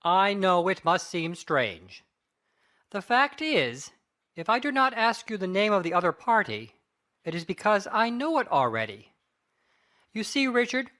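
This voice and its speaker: A man playing a grave, courteous gentleman lawyer in a dramatic audiobook, asking things in a formal, deliberate way. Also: sits high, heavily acted.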